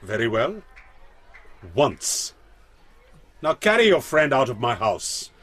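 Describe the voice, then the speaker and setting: An actor playing a menacing, courteous crime boss in a radio drama, speaking formally and deliberately with threat underneath. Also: male voice, medium pitch, big pitch swings, fairly bright tone, exaggerated voice. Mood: smug, cold.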